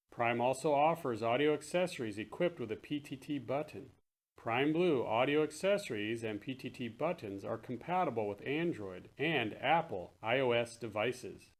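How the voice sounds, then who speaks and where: A man with a mid-pitched voice presents products for a promotional video. He speaks as a straightforward company presenter, explaining things plainly. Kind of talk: scripted product narration, later casual demo chat.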